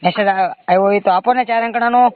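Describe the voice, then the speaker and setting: A man with a high voice and a Gujarati accent makes a loan sales call. He talks fast and presses his point again and again. The sound is narrow and muffled, as on a recorded phone line. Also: no room sound. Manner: insistent, pushy, repetitive. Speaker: phone caller claiming to be a bank loan agent.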